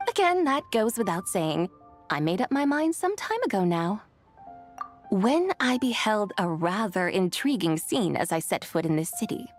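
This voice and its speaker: A woman's voice: medium pitch, very animated, moderate pace, faint music behind, natural voice. A woman playing an ancient, gracious and wistful immortal in a video game, speaking in an eloquent, serene, poetic manner. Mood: reflective.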